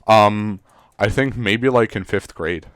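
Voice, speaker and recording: Rambling Serbian teen boy, smooth voice. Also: fairly low pitch, slow pace, a bit bright, no echo. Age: teens. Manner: rambling, many fillers and restarts, swears casually. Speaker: podcast co-host. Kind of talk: casual chat.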